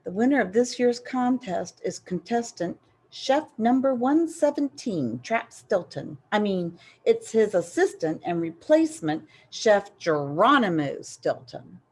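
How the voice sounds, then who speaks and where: A woman's voice: a little high, big pitch swings, a little mellow, breathy edge, full character voice. A woman voicing a solemn male mouse who hosts a storybook contest and announces in a grand, declamatory way. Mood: solemn, formal. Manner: mumbling at first, then slow and declamatory.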